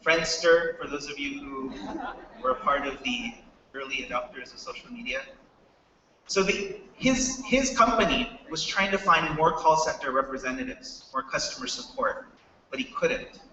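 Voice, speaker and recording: A man's voice: a little high, expressive, normal speed, pushed and bright, muffled recording, a little hiss or hum. An American man giving a casual startup talk to students, asking the audience questions. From United States. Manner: chatty, asks the audience questions. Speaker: startup co-founder speaker. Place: hall with a live audience. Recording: echoey hall, mic from far off.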